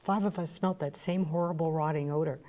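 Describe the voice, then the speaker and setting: Chatty woman radio host, low warm voice, eager. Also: fairly even intonation, fast talker, slight background noise. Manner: chatty, eager, telling anecdotes and asking questions. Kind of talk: casual interview chat.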